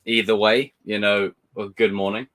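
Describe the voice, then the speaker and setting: A man hosting a live watch party with a croaky morning voice, talking nervously, swearing and pleading. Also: fairly low pitch, flat delivery, normal speed, a bit bright, a little hiss or hum. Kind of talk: live reaction commentary.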